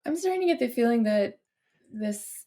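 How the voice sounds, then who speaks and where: A woman's voice: a little high, lively intonation, moderate pace, dark tone. A woman talking casually as a player in a tabletop roleplaying game, sometimes speaking as her character.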